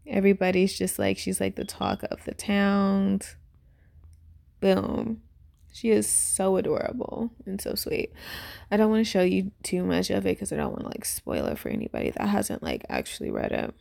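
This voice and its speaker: A woman in her twenties giving a casual, unscripted review in a rambling, informal way, with lots of fillers and heavy vocal fry. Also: mid-range voice, big pitch swings, moderate pace, a little mellow. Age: 20s. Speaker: YouTuber reviewing a webtoon. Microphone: voice-over on a phone screen recording.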